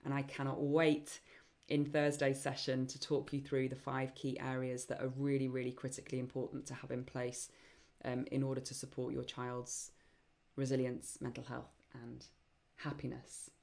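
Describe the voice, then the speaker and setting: A woman hosting a live online Q&A session, chatty and enthusiastic, with many ums and a voice getting croaky. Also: rumbling low, monotone, normal speed, darkish tone. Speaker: webinar host and program founder.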